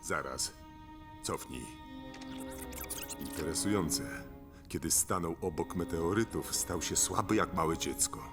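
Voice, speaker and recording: An actor playing an arrogant, calculating tycoon villain in a dubbed cartoon, with a smooth, commanding manner. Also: male voice, medium pitch, lively intonation, normal speed, over loud music, close to an everyday voice. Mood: coldly scheming.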